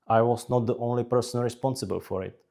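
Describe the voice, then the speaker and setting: A man from the Czech Republic with a Czech accent, narrating scripted career advice in measured, deliberate short phrases. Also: medium pitch, steady tone, creaky, dark tone. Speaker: career advice video creator.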